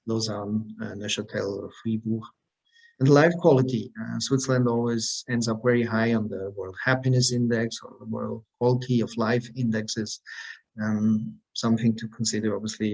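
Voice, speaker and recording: A man speaking as an embassy representative in a webinar, presenting information in a measured, explanatory and promotional way. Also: lowish voice, creaky, poor audio.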